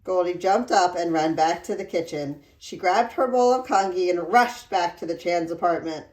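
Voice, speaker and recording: A woman reading a children's storybook aloud in an expressive, deliberate storytelling manner. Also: on the low side. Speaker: read-aloud narrator. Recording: roomy echo.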